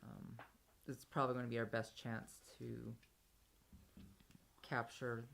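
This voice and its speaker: A woman with a strikingly low voice talks as a player in a tabletop game. Her delivery is casual table talk as she lays out plans. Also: level tone, a little slow, creaky, a bit bright, a little hiss or hum.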